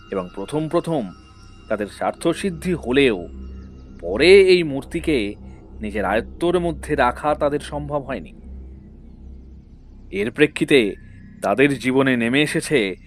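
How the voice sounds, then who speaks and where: An actor playing a grave, knowledgeable man who recounts dark legends in a measured, solemn way in an audio drama. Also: mid-range voice, lightly acted. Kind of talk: dramatic Bengali audio story acting.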